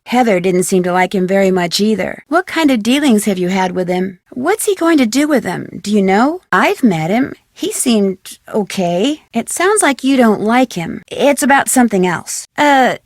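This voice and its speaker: An actress playing a curious, polite young American detective in a video game, speaking clearly and inquisitively. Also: female voice, a little high, a little mellow, dry recording, clean studio sound, close to an everyday voice.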